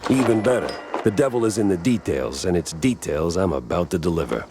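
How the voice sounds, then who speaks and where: Gruff old-timey noir gumshoe mouse, real low voice, wisecracks, music behind. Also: male voice, lively intonation, brisk. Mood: sardonic. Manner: wry, hardboiled. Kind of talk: hardboiled noir acting.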